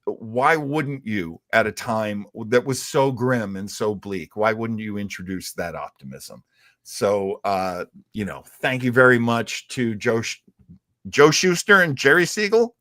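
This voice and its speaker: A man's voice: on the low side, animated, a little slow, fairly bright tone, no room sound, clean studio sound. A man hosting a casual livestream chat, talking in a chatty, jokey way. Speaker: show host.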